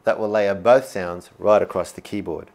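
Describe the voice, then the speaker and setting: A man's voice: deep voice, fairly even intonation, moderate pace, creaky. A man presenting a product demonstration in an upbeat, enthusiastic way. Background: music, demonstrated keyboard sounds.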